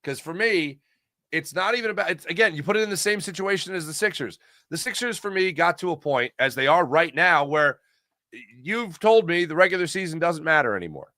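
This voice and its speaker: Bright-voiced, emphatic American man. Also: fairly high pitch, lively intonation, a little fast, no room sound, studio quality. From Pennsylvania, United States. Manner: emphatic, repeats phrases for effect. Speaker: host of a sports talk livestream. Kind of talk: casual sports commentary and chat with viewers.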